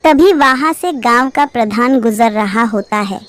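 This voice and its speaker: A high-voiced woman narrates a children's cartoon fable. Her delivery is scripted storytelling. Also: unhurried, faint music behind.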